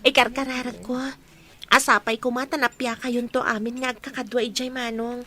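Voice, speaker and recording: A woman's voice: a little high, little pitch movement, moderate pace, bright, forceful tone. An actress playing a sweet, devout and respectful young woman with a Filipino accent in a radio drama. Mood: prayerful, tender.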